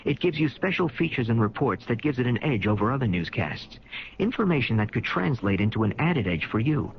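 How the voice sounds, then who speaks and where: A man's voice: medium pitch, big pitch swings, fairly quick, husky. An American man reading a scripted promotional announcement as a commercial announcer. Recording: dull, hissy old radio broadcast.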